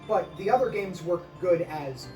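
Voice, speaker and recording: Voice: medium pitch, moderate pace. A podcast co-host chatting casually in an opinionated, rambling, emphatic way and swearing freely. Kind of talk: casual discussion. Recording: roomy echo, distant mic, music behind. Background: music.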